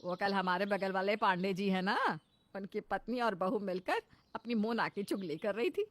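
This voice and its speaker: A woman acts a simple middle-aged mother-in-law from a cartoon family tale. The voice is medium in pitch, homely and cautious, recorded dry and close. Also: animated, moderate pace, no room sound, lightly acted. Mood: amused, gossipy. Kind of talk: Hindi cartoon moral-story acting.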